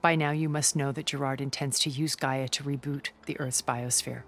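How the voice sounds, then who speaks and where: Casting: a poised, elegant immortal woman in a story-driven game, low register, measured delivery. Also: fairly even intonation. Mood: resolute. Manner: measured, elegant, genteel phrasing. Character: refined, immortal older woman, poised and persuasive. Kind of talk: cinematic video game acting.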